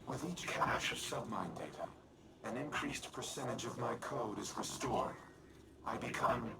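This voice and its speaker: Low, gravelly machine war mind. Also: male voice, low-quality recording. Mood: solemn, analytical. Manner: measured, formal, declarative. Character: godlike artificial war mind, solemn, calculating male machine. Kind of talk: scripted video game dialogue. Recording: loud music under the voice.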